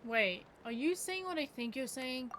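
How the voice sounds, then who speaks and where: A woman's voice: high-pitched, animated, moderate pace, a bit bright, slight background noise. A woman game streamer giving casual live commentary while she plays. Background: game music.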